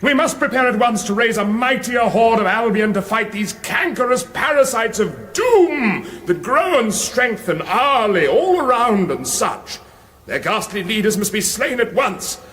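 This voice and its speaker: A British actor playing an alarmed, bombastic royal commander in a radio comedy, declamatory and exasperated. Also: male voice, high-pitched, sing-song, measured pace, a bit bright, heavily acted. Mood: commanding, urgent. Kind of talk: British radio comedy fantasy parody acting.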